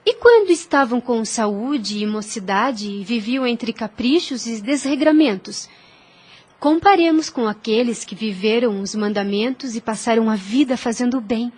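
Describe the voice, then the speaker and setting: A woman playing a gentle, devout young Spanish woman who explains scripture earnestly in a religious audio drama. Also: fairly high pitch, close to an everyday voice. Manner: earnest, measured, reverent explaining.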